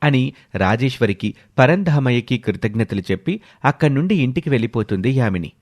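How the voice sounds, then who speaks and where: A man's voice: medium pitch, animated, fairly quick, a little mellow. A man narrating a scripted story as a storyteller. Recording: music behind the voice. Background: background music.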